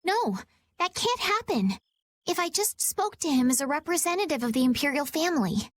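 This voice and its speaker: An actress playing an earnest, compassionate young princess in a video game cutscene. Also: female voice, sits high, very animated, normal speed. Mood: troubled.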